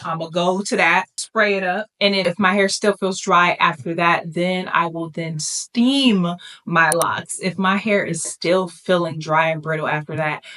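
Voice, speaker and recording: A woman's voice: lowish voice, steady tone, a little slow, a bit bright. A woman vlogger chatting casually to her viewers, sassy and playful, calling them "baby" as she walks them through her hair routine. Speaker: beauty vlogger. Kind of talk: casual chat to camera.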